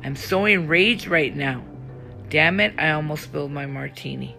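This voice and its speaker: A woman's voice: sits low, fairly even intonation, measured pace, fairly bright tone, soft background music. A woman reading as an indignant, protective woman friend, speaking a bitter, fuming inner monologue.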